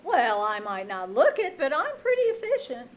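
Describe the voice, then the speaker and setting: A woman playing a wry, quick-witted English teacher in an old-time radio comedy, with dry, sardonic delivery. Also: sits high, huge pitch swings, heavy background noise. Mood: breezy, helpful. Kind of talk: old-time radio comedy acting before a live audience.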